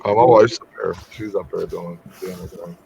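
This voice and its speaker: A man hosting a casual working livestream from home over a video call, his voice going hoarse as he rambles through stories. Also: sits low, moderate pace. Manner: rambling, animated storyteller. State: voice getting hoarse. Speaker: livestream host. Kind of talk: casual chat while working. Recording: lo-fi call audio with faint noise.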